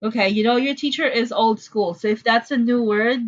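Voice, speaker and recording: A woman's voice: a little high, normal speed, highs cut off. A woman from the Philippines with a Filipino accent, teaching an online class in a chatty, apologetic way with frequent fillers. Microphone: computer mic on a video call.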